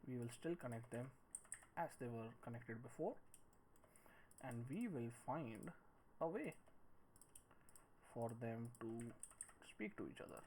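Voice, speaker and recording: A man in his thirties giving a screen-recorded tutorial, thinking aloud in a halting, musing way as he works. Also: medium pitch, lively intonation, a little mellow. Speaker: 3D modelling tutorial presenter. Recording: noisy room with clicks and typing.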